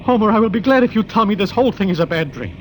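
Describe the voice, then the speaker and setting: High-voiced, wry gangster sidekick. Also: male voice, animated, very fast, a little hiss or hum, rough recording. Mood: uneasy. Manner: formal present-tense gangster slang, wry. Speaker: narrator. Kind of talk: 1940s radio acting.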